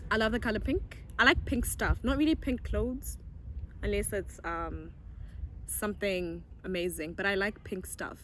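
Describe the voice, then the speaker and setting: A woman's voice: medium pitch, normal speed, a bit bright, a little hiss or hum. A young woman with a Zimbabwean accent, chatting casually and answering viewer questions, bubbly and giggly. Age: young adult. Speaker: YouTuber. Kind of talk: casual get-to-know-me chat.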